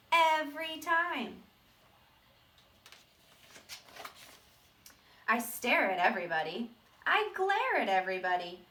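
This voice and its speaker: A small, mischievous male sunflower seed, acted out by a woman confesses in a high, very animated storybook voice. Words are stretched for drama and hit with emphasis. The sound is echoey, as in a bare room. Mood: boastful, naughty. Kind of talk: animated children's storybook read-aloud. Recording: roomy echo, distant microphone.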